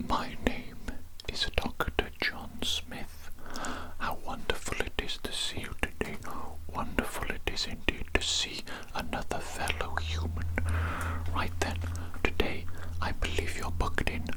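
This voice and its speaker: A fully whispered ASMR performance of an eccentric doctor who seems to be passing as human. The delivery is breathy and unvoiced, with stilted courtesy and phrases said twice over. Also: measured pace, low-quality recording. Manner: odd stilted politeness, repeats phrases. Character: eccentric, politely odd alien doctor posing as human. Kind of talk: whispered ASMR medical roleplay.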